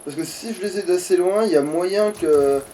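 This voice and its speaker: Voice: medium pitch, moderate pace, warm tone, recorded from a distance, slight background noise, rough recording. A gamer giving casual, grumbling, sweary commentary while playing a video game.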